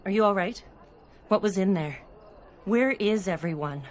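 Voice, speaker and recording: A woman's voice: mid-range voice, lively intonation, moderate pace, a little hiss or hum, close to an everyday voice. An actress voicing a fiery, suspicious young elf woman from a slum in a video game, blunt and urgent. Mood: anxious, urgent.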